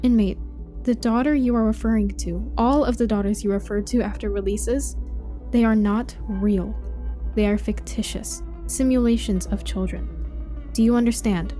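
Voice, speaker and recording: An actress playing an earnest young trainee prison technician in an audio drama, probing and firm in a measured way. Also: female voice, fairly high pitch, fairly even intonation, over music, close to an everyday voice. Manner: measured, formal when questioning.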